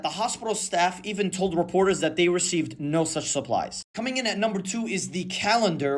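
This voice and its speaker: A man presenting a countdown commentary in a mocking, sarcastic tone. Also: sits high. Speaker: online commentator.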